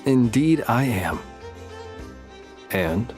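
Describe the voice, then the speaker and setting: An actor voicing a calm, teasing male lighthouse keeper in a game, speaking with dry humor and an unhurried manner. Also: medium pitch, music in the background. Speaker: game character.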